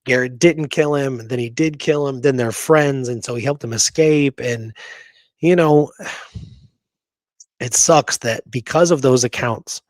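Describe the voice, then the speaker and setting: A man's voice: mid-range voice, unhurried, no room sound. A man hosting a history show, talking casually and emphatically, with pointed rhetorical questions.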